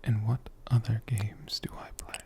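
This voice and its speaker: A soft ASMR host speaks in a breathy whisper. The delivery is slow and gentle, with deliberate pauses between short phrases. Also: mid-range voice, level tone. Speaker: host of an ASMR channel answering viewer questions.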